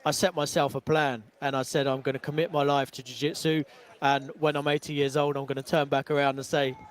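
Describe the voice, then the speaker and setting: A man's voice: mid-range voice, little pitch movement, brisk. A man chiming into an interview with chatty, chuckling remarks. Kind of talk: casual chat.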